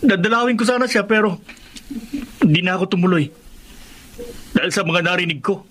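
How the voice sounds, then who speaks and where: A defiant young filipino son, bitter, really high male voice, bit of noise behind, radio drama. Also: moderate pace, a bit bright. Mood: bitter, accusing.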